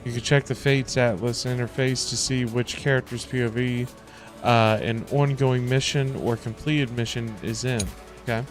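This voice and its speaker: A man livestreaming a video game, chatting casually with viewers in an excitable, effusive way. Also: mid-range voice, normal speed, faint music behind.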